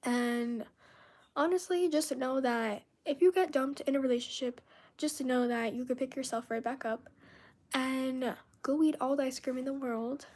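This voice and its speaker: Young woman, Spanish first language, high voice, casual emphatic live-stream venting with lots of fillers. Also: moderate pace, a little mellow. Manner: venting, emphatic, filler-heavy with frequent restarts.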